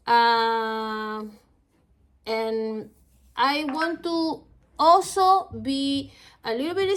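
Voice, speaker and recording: Spanish-accented lady teaching, high voice, slow and halting with lots of ums. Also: fairly bright tone. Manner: halting, many fillers and restarts. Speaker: Dutch language teacher. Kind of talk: casual video lesson introduction.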